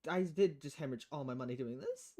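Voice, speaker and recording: A woman live-streaming a game in a casual, chatty way. Her pitch is lively and moves around a lot as she reads text aloud and comments on it. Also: a little fast. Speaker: game streamer reading visual novel dialogue aloud.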